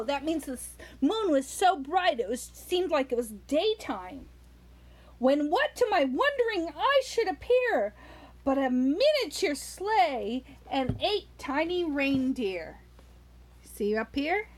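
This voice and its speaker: A woman with a high voice that swings widely up and down as she reads to little children. She takes her time, stretching words and stopping to put simple questions to her young listeners. Her delivery is animated storytelling, with small explanations along the way. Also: poor audio. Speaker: children's story reader and host.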